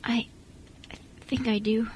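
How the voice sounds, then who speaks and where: A woman's voice: fairly high pitch, flat delivery, unhurried, a little mellow, a little hiss or hum, lightly acted. A woman playing a shy, curious young boy, a tentative and earnest big brother, in an amateur radio drama. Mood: tender.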